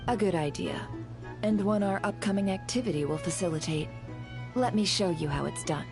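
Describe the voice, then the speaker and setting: A woman playing a composed, shrewd intelligence broker in a video game, measured and articulate. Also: mid-range voice, close to an everyday voice. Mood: composed, confident. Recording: music under the voice.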